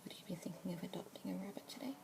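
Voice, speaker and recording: A young woman with an Australian accent whispering softly and breathily as a pet adoption specialist in an ASMR roleplay, with gentle murmured "mm-hmm" fillers. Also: lowish voice, rapid-fire. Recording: close whisper mic with clear background hiss.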